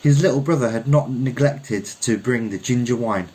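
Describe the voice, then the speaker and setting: A man from Birmingham in England narrates literary fiction at a middle pitch. His reading is measured and reflective, as a storyteller would deliver a short story. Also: mellow, low-quality recording. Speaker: story reader.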